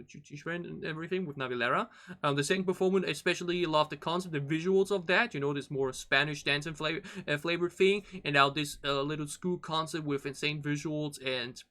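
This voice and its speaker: Male reaction host, bright and forward tone, informal and enthusiastic, loosely rambling delivery. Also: a little high. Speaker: K-pop reaction video host. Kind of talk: casual reaction commentary.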